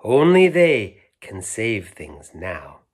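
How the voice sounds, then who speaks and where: A man's voice: lowish voice, very animated, slow pace, slightly rough, full character voice. A man voicing a mysterious long-lost toy in a children's storybook, giving solemn instructions. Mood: solemn, urgent. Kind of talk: children's storybook read-aloud.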